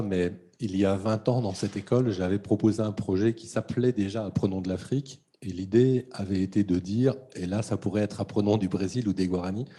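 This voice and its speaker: A middle-aged man moderating a panel discussion, speaking in a measured, reflective way. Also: on the low side, dark tone, breathy edge. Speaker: event moderator.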